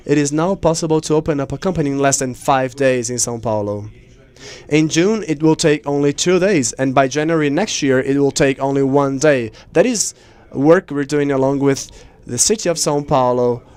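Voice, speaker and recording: A man interpreting conference speeches live, in measured phrases with pauses mid-sentence. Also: medium pitch, a little mellow, clear, resonant tone. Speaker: simultaneous interpreter.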